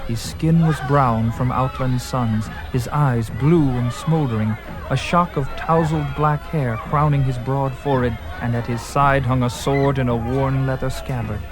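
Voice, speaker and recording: A man's voice: mid-range voice, steady tone, measured pace, a crowd in the background. A man narrating a fantasy story in a vivid, theatrical, literary storytelling style. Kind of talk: dramatic scripted fantasy narration. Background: music.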